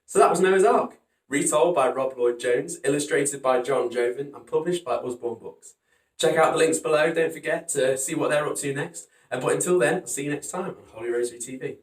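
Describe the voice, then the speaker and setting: A man's voice: a little high, a little fast. A man hosting a storytime, narrating a children's picture book in a dramatic, emphatic way. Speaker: storytime host reading picture books. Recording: roomy echo, distant from microphone.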